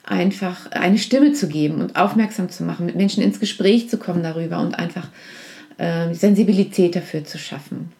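A woman's voice: fairly low pitch, a little fast, gravelly, warm tone. A woman being interviewed on a podcast about her outreach work, speaking earnestly with many fillers and restarts. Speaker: guest from a support organization.

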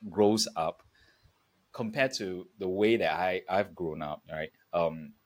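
A man with a fairly low voice talks reflectively as a podcast guest. He moves forward in short, halting phrases, pausing as he puts his thoughts together. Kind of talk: reflective interview talk.

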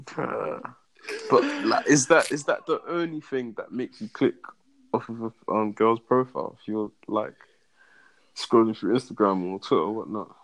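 A young man, chatting in a relaxed, slangy way on a podcast. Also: fairly low pitch, normal speed, creaky, low-quality recording. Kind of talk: casual chat.

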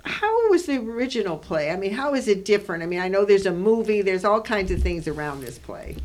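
A woman's voice: medium pitch, very animated, a little fast, a little mellow. A woman hosting a conversational radio interview in a studio, effusive and repeating words for emphasis.

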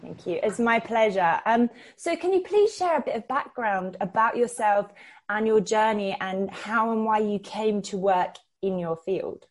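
A woman's voice: medium pitch, measured pace. A woman hosting a podcast, opening the episode with a friendly intro and putting questions to her guest. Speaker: podcast host.

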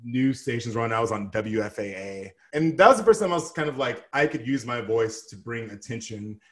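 A man in his thirties chatting as a guest on a podcast. He tells his stories with animated, playful energy and drops in sassy asides. His voice sits at an ordinary middle pitch. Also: fairly quick. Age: 30s. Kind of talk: casual interview chat.

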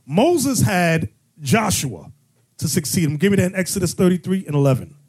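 A man's voice: high voice, very animated, normal speed, darkish tone, slightly rough. A man teaching a congregation as bishop, preaching with call-and-response questions and sudden emphatic bursts. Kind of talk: sermon-style teaching.

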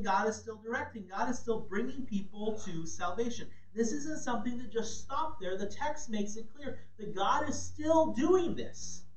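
Male pastor, high voice, emphatic sermon delivery, distant mic in an echoey room. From Ghana. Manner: emphatic, rises sharply on key points.

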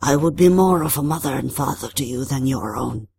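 A woman voicing an ancient vengeful water spirit in a storybook, calm and menacing, with slow, solemn, formal phrasing. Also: a bass voice, creaky, slight background noise, pitched below the performer's own voice, exaggerated voice. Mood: calm, coaxing.

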